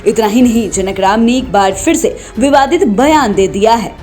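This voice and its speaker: A woman delivers a scripted news report in a fairly high voice. She reads as a reporter narrating a voice-over, with light music playing underneath. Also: expressive, moderate pace, warm tone. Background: background music.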